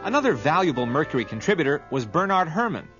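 A man's voice: fairly high pitch, sing-song, quick pace, fairly bright tone, highs cut off, faint music behind. A man reading scripted documentary narration as the narrator.

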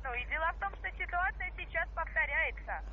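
A woman giving an insistent sales pitch over the phone, peppering the listener with questions. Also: animated, a little fast. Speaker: cold-call investment salesperson. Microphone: phone call. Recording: noisy muffled telephone line.

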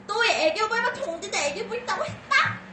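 A woman's voice: extremely high pitch, lively intonation, brisk, loud music behind the voice. A woman bantering casually during a livestream, blunt and teasing, with coarse slang. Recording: very noisy, echoey room pickup.

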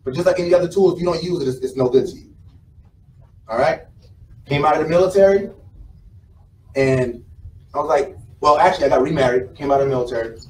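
An American man speaks to a live audience in an informal motivational style. His voice sits a little high, and he tells his story conversationally, repeating phrases for emphasis. The room echoes around him and there is faint noise behind his voice. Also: quick pace, long pauses, a little mellow. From South Carolina, United States. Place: indoor conference room with a small stage. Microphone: camera mic from the audience, several meters away. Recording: roomy, distant mic, some background noise.

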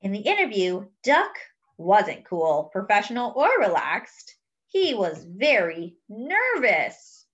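Female children's story host, very lively pitch movement, expressive read-aloud with simple explanatory asides. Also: slow, lo-fi sound. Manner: expressive, explains words and pictures as if to young children.

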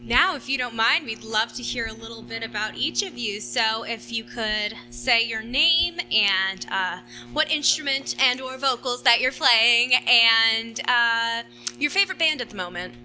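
A woman's voice: fairly high pitch, unhurried, bright, forceful tone, soft background music, low-quality recording. A young woman hosting a college radio session, enthusiastic and giggly as she interviews a band. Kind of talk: casual interview. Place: college radio studio, couch. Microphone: handheld mic.

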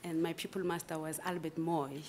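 A South African woman in her forties with a lowish voice, answering a panel in a measured, hesitant way. She speaks into a table microphone in a large formal conference room, with a faint hum of ambient noise behind her. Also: level tone, a little mellow. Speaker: candidate advocate interviewed for judgeship.

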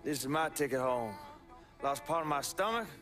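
An actor voices a laid-back hippie veteran in a video game. He is a man with a slightly high voice and a folksy, easygoing way of talking. Music plays behind him. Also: expressive, moderate pace, lightly acted. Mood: wry, matter-of-fact. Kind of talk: video game drama acting. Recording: game music under dialogue.

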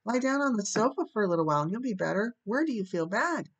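A woman's voice: on the low side, moderate pace, creaky. A woman voicing an earnest, dramatic young orphan girl in a storybook, imploring and effusive. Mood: anxious, pleading.

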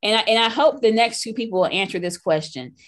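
A woman's voice: fairly high pitch, expressive, fast talker. A woman hosting a talk show call, moderating callers with direct, emphatic questions.

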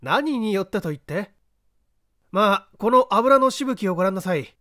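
A coolly assured detective, played by a male reader, speaks in a high, lively voice. He gives polite orders and explains like a patient teacher, in storybook dialogue. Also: normal speed, dry recording, studio quality. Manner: polite, commanding, didactic. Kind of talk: audiobook character dialogue.

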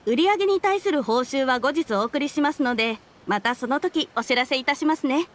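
Casting: young female clerk for an anime game, high voice, formal and very courteous. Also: animated, brisk. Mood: pleased. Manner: very polite, businesslike.

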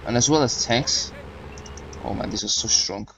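A man's voice: mid-range voice, normal speed, gravelly, fairly bright tone, highs cut off, slight background noise. A young man hosting a gaming channel, chatting casually as he plays and reads mission briefings aloud. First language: not German. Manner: chatty, rambling, reading game briefings aloud. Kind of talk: casual game commentary.

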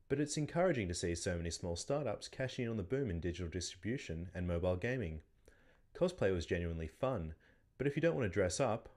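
A young man reads his scripted voice-over in a low, steady voice and drops in dry, wry asides. He speaks into a desk mic in a small office room, and clear music runs behind his voice. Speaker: reporter. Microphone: studio mic on a desk stand.

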